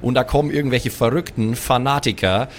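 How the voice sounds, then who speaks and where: A man's voice: medium pitch, brisk. A man playing in an improvised audio role-play, talking in a casual, chummy way in character. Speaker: player in a role-play show.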